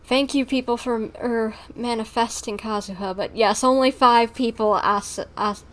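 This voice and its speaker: A woman's voice: fairly high pitch, fairly even intonation, moderate pace, a little hiss or hum. A woman livestreamer chatting informally with her viewers, rambling and easily sidetracked. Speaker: live streamer. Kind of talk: casual livestream chat with viewers.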